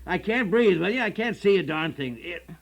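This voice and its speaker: A man's voice: sits high, animated, normal speed, vocal fry, exaggerated voice. A man with an American accent playing an indignant, put-upon middle-aged husband who blusters and grumbles, in the style of a 1960s radio comedy sketch. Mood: grudging, flustered.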